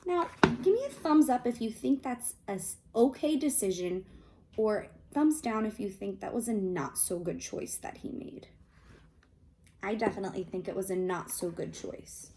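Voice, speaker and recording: A woman reading a children's picture book aloud expressively, pausing to ask her young listeners questions. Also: mid-range voice, expressive, mellow. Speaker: read-aloud host, storyteller.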